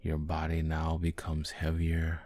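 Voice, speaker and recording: A man guiding a sleep hypnosis in a soft, calming, gentle voice with long pauses between short phrases. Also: a bass voice. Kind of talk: guided sleep hypnosis, soothing narration. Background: background music.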